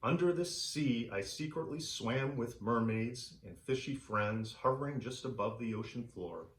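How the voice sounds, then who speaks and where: Male storyteller for kids' read-aloud, mid-pitched, theatrical and expressive, recorded in a roomy echo. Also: measured pace. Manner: animated, theatrical delivery for young listeners. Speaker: storyteller reading a picture book to children.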